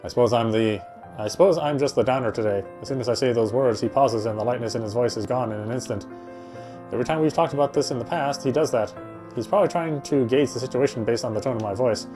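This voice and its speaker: A man reading first-person visual novel narration aloud in a soft, reflective, dramatic storytelling way. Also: medium pitch, fast talker. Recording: music behind the voice. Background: game music.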